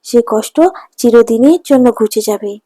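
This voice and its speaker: A woman's voice: a little high, moderate pace, dark tone, no echo, voice pushed down, natural voice. A woman voicing a kindly water fairy in a cartoon, soothing and solemn as she gives blessings. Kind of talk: cartoon voice acting.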